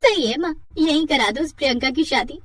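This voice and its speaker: A woman's voice: high-pitched, brisk, no echo, voice pushed up, lightly acted. A woman voicing a spiteful, jealous teenage stepsister with a whiny, petty manner in a cartoon moral story. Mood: mocking.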